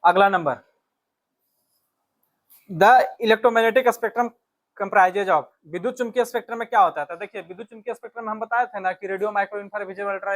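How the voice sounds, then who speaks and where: A man with an Indian accent giving an instructional exam lesson as a teacher, repeating key phrases and checking in with short tags. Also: sits high. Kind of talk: physics exam lesson, working through questions.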